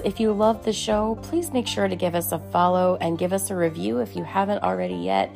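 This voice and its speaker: A woman's voice: on the low side, a little mellow. A woman hosting a podcast, giving an upbeat, friendly welcome and introducing her guest. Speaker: podcast host. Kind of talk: scripted show intro and interview questions.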